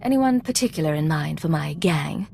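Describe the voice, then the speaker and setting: A commanding secret agent in a video game. Her mid-pitched voice is curt, controlled and authoritative, with faint noise behind it. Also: animated, moderate pace, vocal fry, dark tone. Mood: composed. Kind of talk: video game character acting.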